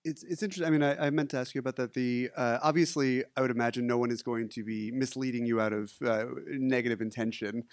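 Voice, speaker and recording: Man, mid pitch, moderator, dry close mic, friendly with slight stammer. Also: steady tone, fast, hardly any pauses, dry recording. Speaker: panel moderator.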